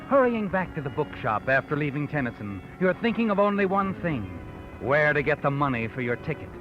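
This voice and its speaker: An actor playing a sardonic, knowing narrator, telling a 1940s radio mystery in an insinuating, dramatic second person. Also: male voice, on the high side. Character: sardonic, knowing, sinister storyteller addressing the guilty woman. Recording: dull old radio broadcast, music behind.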